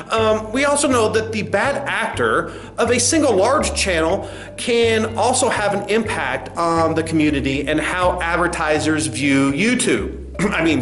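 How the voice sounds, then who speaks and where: A man playing a condescending, contemptuous corporate spokesman in a satirical comedy sketch, talking in halting mock-official phrases. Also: fairly high pitch, fairly bright tone, echoey room. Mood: smug, official. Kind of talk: satirical press conference acting.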